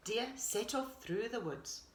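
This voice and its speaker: A woman teacher reading a children's story expressively, pausing to explain things to young listeners. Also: on the high side, a little hiss or hum. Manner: animated, explanatory. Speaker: pre-primary teacher, storyteller. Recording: roomy echo.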